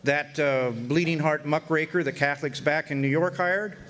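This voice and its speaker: A man as wry older narrator, folksy radio-play storytelling, slightly high voice, drawn-out phrasing. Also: steady tone, fairly bright tone, natural voice. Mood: wry, reflective. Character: folksy wry man recalling his Arizona orphan boyhood. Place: live stage performance in a hotel.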